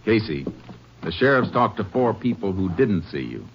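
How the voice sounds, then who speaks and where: Very deep-voiced Texas Ranger investigator. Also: male voice, very animated, vocal fry, husky, exaggerated voice. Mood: firm, probing. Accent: American. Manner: measured, matter-of-fact, firm. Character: calm, methodical male Texas Ranger investigator and narrator. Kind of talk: 1950s radio drama acting and first-person narration. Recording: muffled vintage radio recording, faint music.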